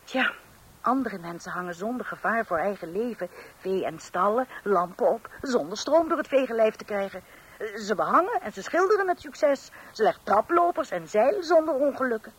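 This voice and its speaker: A woman's voice: a little high, expressive, moderate pace, husky, low-quality recording. An actress in a scripted radio comedy playing a long-suffering, sceptical housewife and mother with a wry, theatrical manner. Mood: wry resignation. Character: exasperated, sceptical middle-aged housewife and mother. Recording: hissy old radio play recording.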